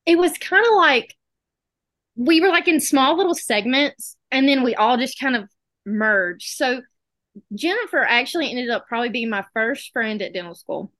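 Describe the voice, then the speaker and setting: Bright-voiced Southern woman, chatty storyteller. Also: high-pitched, lively intonation, moderate pace, dry recording. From South Alabama. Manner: chatty storytelling, acts out quoted exchanges. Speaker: dental student guest. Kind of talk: casual chat.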